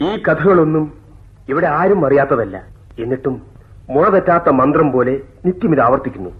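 An actor playing a composed, stern male prison doctor with a measured, formal, admonishing delivery in a radio drama. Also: a little high, animated, natural voice. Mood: weary reproach. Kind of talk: theatrical radio drama acting. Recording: dull, lo-fi radio recording.

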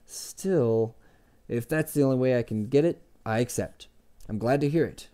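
A man's voice: medium pitch, normal speed, dark tone, clear, resonant tone, lightly acted. Man voicing an earnest, nervous story collector. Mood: hesitant, conceding. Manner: eager, hesitant, pleading. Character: earnest, nervous, slightly self-important male story collector.

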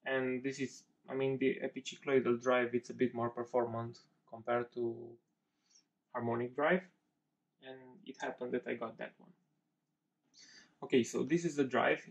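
A man's voice: mid-range voice, steady tone, normal speed, vocal fry, muffled recording. A man in his forties from Romania with a Romanian accent, explaining a project in his home workshop, hesitant and often correcting himself. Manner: hesitant, self-correcting, many fillers. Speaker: maker vlogger. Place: home workshop with pegboard and bench.

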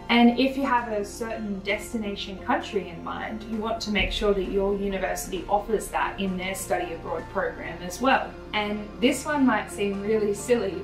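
A young woman presenting scripted advice about choosing a university, in a steady, instructive way with many questions. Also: medium pitch. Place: bright office with a window. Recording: roomy, distant sound under music. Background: background music.

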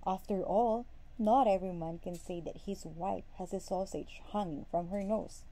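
A woman's voice: mid-range voice, normal speed, mellow, a little hiss or hum, close to an everyday voice. A woman voicing a henpecked, plain-spoken male woodsman in a storybook. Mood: amused. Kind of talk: storybook character voice.

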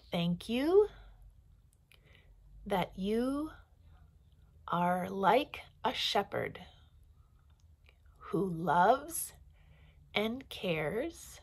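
Female children's storyteller, forties, pastor type. Unhurried delivery, lively swooping intonation, simple phrasing. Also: mid-range voice, slightly rough. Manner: animated, simple phrasing with deliberate pauses for children. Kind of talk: storytelling and talking to young children. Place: small room at home or office, indoors.

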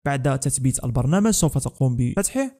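A man's voice: mid-range voice, lively intonation, quick pace, mellow. A young man narrating a scripted tech tutorial as the host of a channel.

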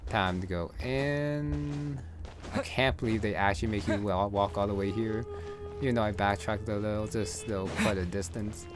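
A man giving casual, low-key gameplay commentary, thinking aloud as he plays. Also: steady tone, normal speed. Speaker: gamer recording a playthrough. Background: game music.

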